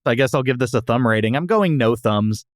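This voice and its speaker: Animated man bantering on a comedy podcast. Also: medium pitch, a little fast, no echo, clean studio sound. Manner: playful, mock-strict banter. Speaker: podcast host. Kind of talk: casual comedic review chat.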